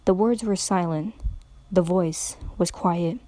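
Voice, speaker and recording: Woman narrator, dramatic story reading, very slow and mellow, dry close sound. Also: lowish voice, dry recording. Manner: slow, brooding, dreamlike.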